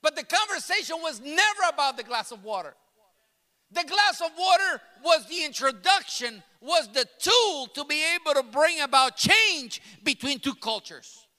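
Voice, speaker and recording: A man's voice: very high-pitched, expressive, moderate pace, sharp tone. A middle-aged man whose native language is Spanish, preaching a sermon with emphatic, repeated phrases that build to passionate peaks. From grew up in New York City. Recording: long reverb of a large hall.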